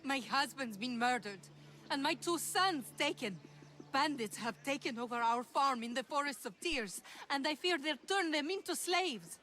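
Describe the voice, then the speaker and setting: A woman's voice: high-pitched, expressive, normal speed, sharp tone, rough recording. A woman playing an earnest, troubled townswoman in a video game, with an emotional delivery. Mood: distressed. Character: earnest, troubled Greek townswoman pleading for help. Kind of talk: video game cutscene acting.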